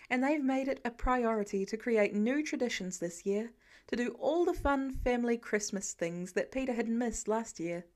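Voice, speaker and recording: A woman's voice: a little high, a little mellow. A woman reading a scripted story aloud as an audiobook narrator.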